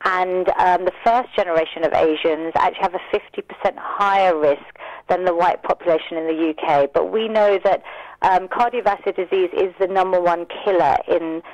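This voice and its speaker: A woman's voice: lowish voice, steady tone, fairly quick, a little mellow, no room sound, muffled recording. A British woman giving informative, earnest answers as a guest in a radio interview.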